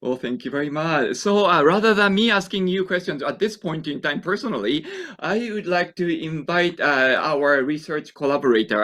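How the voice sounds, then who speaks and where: A man's voice: fairly high pitch, fairly bright tone. A man with a Japanese accent moderating an academic seminar off the cuff, polite, wordy and hesitant. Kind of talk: impromptu moderating.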